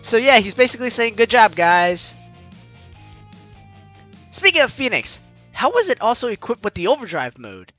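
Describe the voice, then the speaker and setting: Young man, game host, chatty, high lively pitch, bright tone. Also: fast talker, soft background music. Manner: chatty, reads lines with asides. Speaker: gameplay host reading the story aloud. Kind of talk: let's play narration and commentary.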